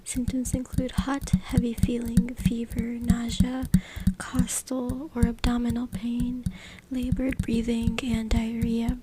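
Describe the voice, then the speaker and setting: A woman whispers an ASMR reading in a breathy, unvoiced voice. Her delivery is hushed and informal, with little rise and fall. She is close to a USB mic, with clear background noise and clicking. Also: on the high side, completely flat delivery, slow pace. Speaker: ASMR creator. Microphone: close USB microphone. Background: tapping, scratching, clicks.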